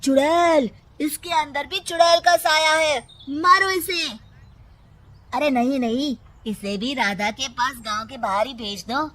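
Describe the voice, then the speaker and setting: A woman's voice: high-pitched, a little slow, a bit bright. A woman voicing an agitated, superstitious villager in a cartoon, scolding and exclaiming. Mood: alarmed.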